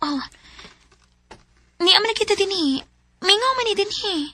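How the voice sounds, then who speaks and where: Woman doing an emotional young heroine, high bright voice, radio drama. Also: normal speed, no room sound, soft background music, exaggerated voice. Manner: emotional, expressive. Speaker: lead character.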